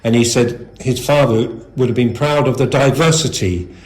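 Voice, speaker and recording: Guy doing an interview, informal rambly questions, middling pitch, roomy echo. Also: normal speed. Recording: echoey room.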